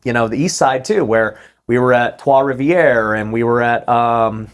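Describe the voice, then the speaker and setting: A man's voice: mid-range voice, normal speed. A man hosting a podcast, chatting casually and agreeably with his guest, dropping "bro" and "like". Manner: relaxed, agreeable, says "bro" and "like". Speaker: podcast host.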